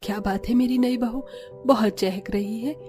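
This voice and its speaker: A woman's voice: a little high, fairly even intonation, moderate pace, warm tone, faint music behind, lightly acted. A woman voicing a curious, teasing older mother-in-law in a cartoon.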